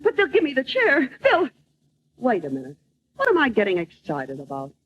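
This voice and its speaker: Honeyed femme fatale, high-pitched, swooping melodramatic delivery, scheming, dull old radio sound. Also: female voice, huge pitch swings, normal speed, exaggerated voice. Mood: defensive, alarmed. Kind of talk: old-time radio comedy sketch acting. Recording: dull old radio recording, faint noise.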